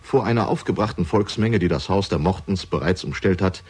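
A man reading scripted narration for a crime radio drama, measured and dramatic, with deliberate pauses. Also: low-pitched, darkish tone, husky.

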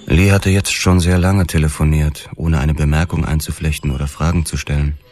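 An actor playing a world-weary police detective who narrates his own story in a measured, deadpan way for a radio drama. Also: extremely low pitch. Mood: detached, weary.

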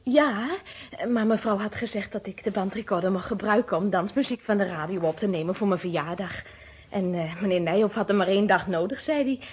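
A woman's voice: mid-range voice, brisk, slight background noise, close to an everyday voice. A young woman playing a wary, flustered housekeeper in a radio drama, hesitant and defensive but chatty. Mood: flustered, explaining. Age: young adult. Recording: dull muffled old radio drama recording.

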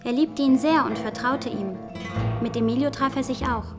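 A woman's voice: high-pitched, moderate pace, music in the background, natural voice. An actress playing a frank, resentful young woman informant in a German radio crime drama, direct and insistent. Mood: earnest. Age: young adult.

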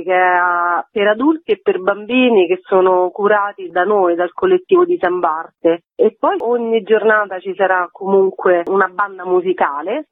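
A woman's voice: medium pitch, no echo. Woman on a tinny phone line. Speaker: festival curator, guest. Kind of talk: radio phone interview, explaining.

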